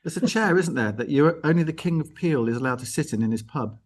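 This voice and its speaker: A man's voice: on the high side, animated, quick pace. A man casually hosting a live arts show over a video call, chatty and encouraging.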